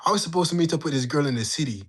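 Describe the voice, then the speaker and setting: A young man in his late teens, hosting a livestream and telling a story in loose, slangy talk. His voice sits a little high for a man. He keeps turning to answer his chat in a lively back-and-forth. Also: very fast, slightly rough. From Jamaica. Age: young adult. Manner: animated, slangy, bantering with chat. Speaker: livestream host. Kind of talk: casual storytelling to live chat.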